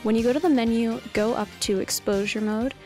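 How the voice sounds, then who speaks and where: A woman's voice: on the high side, fairly even intonation, fairly quick, darkish tone. A young woman reading scripted instructional narration as a tutorial presenter. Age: young adult. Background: background music.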